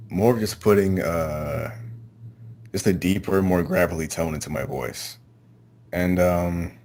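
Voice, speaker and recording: A man with a low-pitched voice gives casual interview answers. He is low-key and a little hesitant, feeling his way through each reply. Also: a little mellow. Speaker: amateur voice actor, interview guest.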